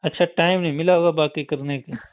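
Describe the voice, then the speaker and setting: A man's voice: on the high side, fairly even intonation, a little fast, no echo. A young man, chatting casually as a private tutor over the phone. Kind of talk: casual chat. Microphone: phone call. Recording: dry, slightly tinny phone call recording.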